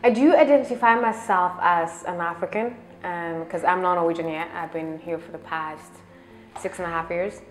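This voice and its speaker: Woman, slightly low, open tone, recorded in a reverberant room. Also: moderate pace, soft background music. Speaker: interviewee. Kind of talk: interview talk. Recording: roomy echo.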